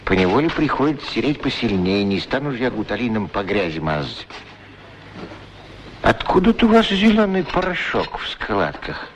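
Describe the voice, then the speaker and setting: A man's voice: on the low side, sing-song, moderate pace. An actor playing a weathered, talkative shoeshine man with a chatty, teasing manner in a radio play. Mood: teasing, inquisitive. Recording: noisy old radio play recording.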